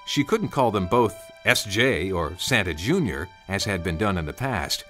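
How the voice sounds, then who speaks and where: A man's voice: sits low, lively intonation, moderate pace, a bit bright. A man narrating a scripted storybook tale in a dramatic storytelling style. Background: music under the narration.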